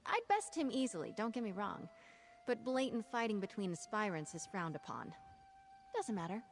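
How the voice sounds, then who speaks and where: A woman's voice: mid-range voice, lively intonation, normal speed, natural voice. A woman playing an eager, good-humored young knight aspirant in a video game. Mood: earnest, confident. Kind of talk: video game character dialogue. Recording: background music.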